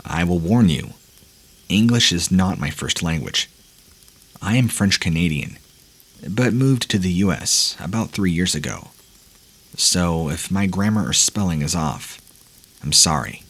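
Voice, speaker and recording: Husky low-voiced man narrating horror. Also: lively intonation, measured pace, pauses often, fairly bright tone. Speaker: narrator reading listener stories.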